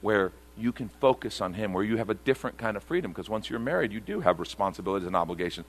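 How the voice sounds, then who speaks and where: A man's voice: lowish voice, quick pace, rough recording. A man pastor preaching a sermon to a congregation, earnest and repeating key phrases for emphasis.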